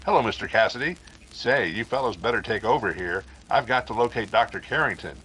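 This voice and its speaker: Man playing a formal, bright-edged 1930s radio announcer. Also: fairly low pitch, lively intonation, a little hiss or hum, rough recording. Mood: courteous, brisk. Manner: formal, measured, courteous.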